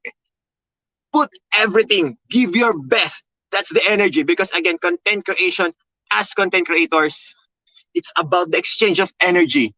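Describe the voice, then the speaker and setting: A man with a Filipino accent and a loud voice, teaching a motivational webinar with emphatic, high energy, repeating key phrases and often checking in with okay and all right. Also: moderate pace, no room sound, poor audio. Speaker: content creation coach.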